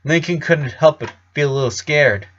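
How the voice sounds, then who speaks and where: A man reading scripted fiction aloud as an expressive narrator. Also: a little high, normal speed, dull audio.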